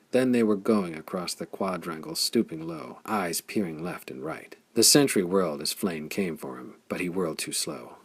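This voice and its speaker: A man reading a pulp science-fiction story aloud as an audiobook narrator, with a gravelly, husky voice and a measured, dramatic delivery. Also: low-pitched.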